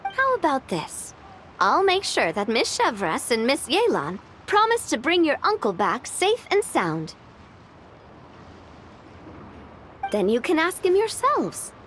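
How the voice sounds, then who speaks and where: A woman's voice: high-pitched, wildly swooping pitch, unhurried, fairly bright tone, a little hiss or hum, heavily acted. A woman playing an earnest, spirited young female adventurer in a video game. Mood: encouraging. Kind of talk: animated video game voice acting.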